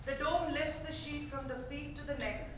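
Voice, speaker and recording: A woman's voice: high voice, steady tone, normal speed, background noise. A woman reading scripted stage directions as narrator at a staged reading. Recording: echoey theater, distant mic.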